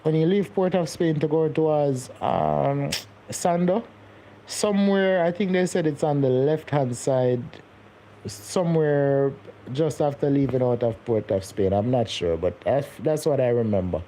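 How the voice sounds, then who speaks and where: A man's voice: medium pitch, expressive, measured pace, warm tone, slight background noise, low-quality recording. A young man, hosting a casual live stream and chatting with viewers, rambling and repeating his words as he goes. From Jamaica. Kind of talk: casual live-stream chat reading comments.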